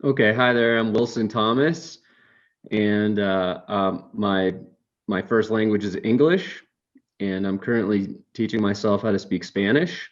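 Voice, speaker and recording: A man speaks slowly and hesitantly as a panelist, pausing on fillers. His mid-range voice comes through slightly dull call audio. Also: fairly low pitch. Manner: unhurried, hesitant, many fillers. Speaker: panelist, self-taught learner. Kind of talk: casual online round-table chat.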